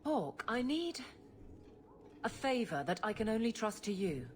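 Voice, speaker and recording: A woman playing a stern, guarded captain of the city guard in a video game, speaking briskly and formally. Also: on the high side, sing-song, slight background noise. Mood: guarded, uneasy.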